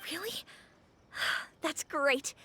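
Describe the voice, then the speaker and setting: A woman's voice: high voice, animated, very slow, heavy background noise, full character voice. A woman playing a friendly, enthusiastic young restaurant owner in a video game, with a chatty, eager manner. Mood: grateful, eager.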